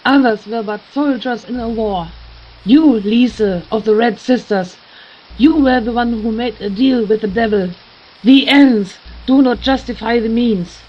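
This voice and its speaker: Woman acting a high-voiced, condemning religious zealot. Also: measured pace, dull audio. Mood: accusing. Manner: preachy, condemning. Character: zealous, accusing female religious vampire hunter. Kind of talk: fan audio drama acting.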